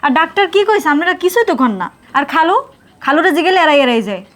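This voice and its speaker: Woman acting a fast, high-voiced anxious sister-in-law. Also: expressive, fairly bright tone. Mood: worried. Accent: Barisal, southern Bangladesh. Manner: urgent, coaxing. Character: anxious, devoted younger sister-in-law, caring and reassuring. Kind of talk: emotional drama acting.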